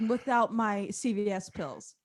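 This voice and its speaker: A woman's voice: mid-range voice, animated, measured pace. A woman guest-hosting a comedy podcast with casual, joking banter.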